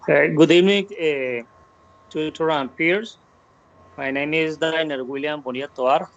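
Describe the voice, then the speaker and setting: A man in his fifties with a Colombian Spanish accent, introducing himself to his class over a video call, halting and repeating words. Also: on the high side. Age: 50s. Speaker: student. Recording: muffled call audio.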